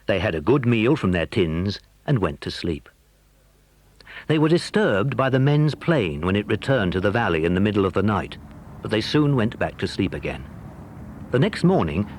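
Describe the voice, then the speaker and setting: A man's voice: lowish voice, big pitch swings, moderate pace, slight background noise. A man narrating a children's adventure story from a script.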